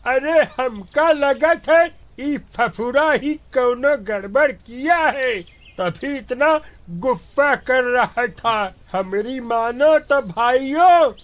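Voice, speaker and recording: A man voicing a rustic villager with a squeaky, lisping voice and a rustic eastern Hindi accent, in an animated story. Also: extremely slow, exaggerated voice. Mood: suspicious. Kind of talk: animated horror-comedy story acting.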